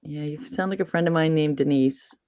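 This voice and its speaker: A woman's voice: low-pitched, fairly quick, darkish tone, dry recording, studio quality. A woman from Massachusetts chatting casually as host, rambling and losing her train of thought. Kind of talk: casual chat.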